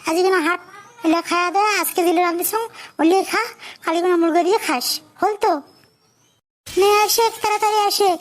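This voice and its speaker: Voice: very high voice, fairly even intonation, a little fast, pauses often. A performer voicing a placating village mother in a comedy cartoon, coaxing hurriedly in a North Bengal accent.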